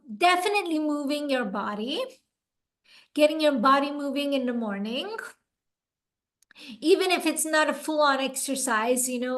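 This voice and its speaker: A woman co-hosting a podcast, giving calm, encouraging self-care advice. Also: sits high. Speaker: podcast co-host. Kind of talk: casual podcast chat.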